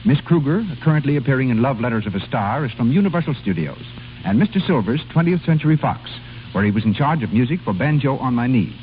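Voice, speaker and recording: Lively American announcer on tinny old radio. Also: male voice, medium pitch, fast, soft background music. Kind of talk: scripted 1930s radio announcing. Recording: tinny 1930s radio recording, faint hum.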